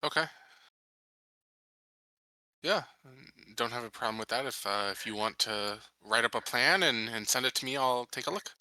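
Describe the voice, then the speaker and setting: A man playing a calm, agreeable colony security chief in improvised tabletop roleplay. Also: medium pitch, moderate pace, pauses often, dry recording, low-quality recording.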